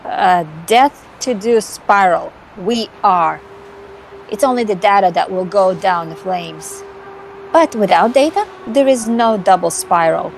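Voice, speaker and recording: A woman playing a scheming, cocky female vampire hacker in an amateur visual novel performance, theatrical and condescending with dramatic pauses. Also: medium pitch, creaky, slight background noise, rough recording. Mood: theatrical, vengeful. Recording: music behind the voice.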